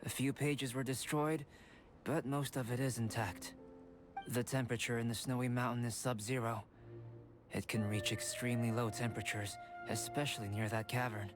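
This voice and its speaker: Young male game alchemist, played by an actor: composed, formal, detached, measured delivery, music underneath. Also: medium pitch, steady tone, lightly acted. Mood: calm, measured. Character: calm, aloof young male alchemist and artist. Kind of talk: scripted video game dialogue.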